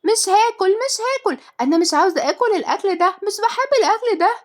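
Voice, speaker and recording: A bratty little girl of about eight, acted out by a woman, whiny and insistent in a storybook reading. Her voice sits very high and rattles along quickly with childish stubbornness. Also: lively intonation. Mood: defiant, whining. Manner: shouting protests, childish.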